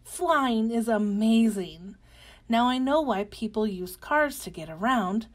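Storybook caterpillar played by a woman: young, cheerful, full of wonder, slightly high pitch, slow gentle delivery. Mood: happy wonder. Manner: gentle, expressive, with excited exclamations. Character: cheerful, wide-eyed young monarch caterpillar turned butterfly. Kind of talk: animated read-aloud of children's picture book.

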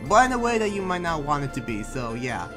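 Friendly, watchful townsman played by a man for anime game roleplay; hesitant, conversational, reassuring, over loud music. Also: fairly high pitch, very animated, fast talker. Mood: teasing, frank. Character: friendly male townsman who senses danger, reassuring but cautious. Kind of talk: improvised Minecraft anime roleplay.

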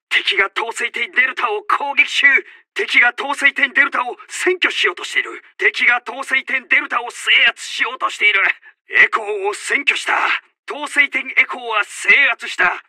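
A commanding Japanese military officer for a war video game. His voice is strikingly high and intensely sharp, stern and urgent as he barks clipped reports and orders. The sound is very dry and close. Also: no room sound, heavily acted. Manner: forceful, clipped, barking orders. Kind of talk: shouted tactical voice lines.

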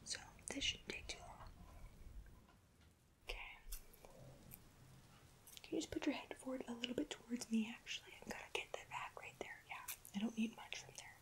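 A young woman speaks in a soft, breathy whisper, close to the mic. Her voice sits a little high, and she is gentle and reassuring, pausing softly as she plays a personal-attention hairdresser for ASMR. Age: young adult. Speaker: ASMR roleplay host as hairdresser. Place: indoor room at home.

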